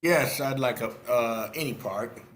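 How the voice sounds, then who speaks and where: A man's voice: medium pitch, moderate pace, a bit bright. A man chatting casually in a group, with a playful, self-mocking way of talking. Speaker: participant volunteering for a part.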